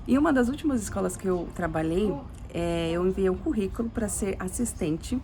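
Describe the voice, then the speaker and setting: Brazilian portuguese woman, young adult, gravelly fry, rambling casual storytelling, faint hiss behind. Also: mid-range voice, animated, moderate pace, warm tone. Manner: chatty, rambling, with many restarts and tag questions. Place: living in Sweden.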